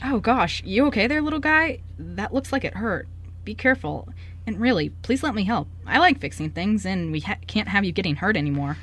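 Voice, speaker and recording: A woman's voice: mid-range voice, expressive, brisk, fairly bright tone. A woman playing a polite, helpful male newspaper reporter in an amateur radio play, with a hesitant, caring way of talking. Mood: concerned. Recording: background room noise.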